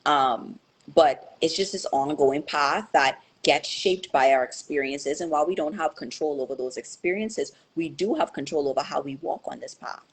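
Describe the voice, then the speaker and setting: A woman's voice: deep voice, moderate pace, breathy edge. A young woman answering interview questions in a thoughtful, hesitant way, with many fillers and restarts. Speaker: guest.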